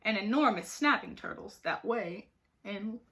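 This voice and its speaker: A woman reading a children's story aloud like a teacher, with expressive, dramatic emphasis. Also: mid-range voice, a little slow. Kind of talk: animated storybook narration for children.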